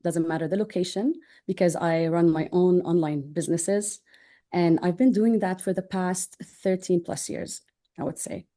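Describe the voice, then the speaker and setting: A woman's voice: lowish voice, fairly even intonation, normal speed, warm tone. A woman in her thirties from Jordan, answering interview questions in an upbeat, informal, chatty way. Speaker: interview guest, online business owner.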